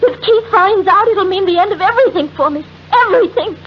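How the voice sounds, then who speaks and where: High-voiced, desperate rich wife. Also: female voice, fairly quick. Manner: pleading, melodramatic. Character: desperate, frightened wealthy married woman being blackmailed. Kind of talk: 1940s radio acting. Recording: dull, noisy old radio recording.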